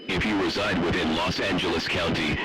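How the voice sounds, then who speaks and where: A man's voice: deep voice, fairly even intonation, a little fast, a loud crowd, poor audio. A man delivering a scripted emergency alert in a formal, official manner.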